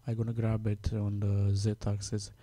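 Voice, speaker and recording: A man with a Romanian accent, Romanian his first language, talking through a software tutorial step by step, halting with many fillers as he works. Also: on the low side, monotone, no echo. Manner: halting, many fillers, thinking aloud while working. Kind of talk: screen-recorded software tutorial.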